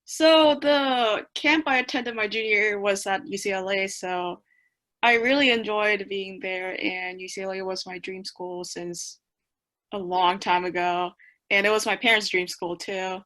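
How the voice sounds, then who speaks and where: A woman's voice: mid-range voice, measured pace, a bit bright. A woman in her early twenties from South Korea, answering interview questions casually as a college student on a video call from a small room. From South Korea, moved to the US at eleven. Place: small bedroom, indoors.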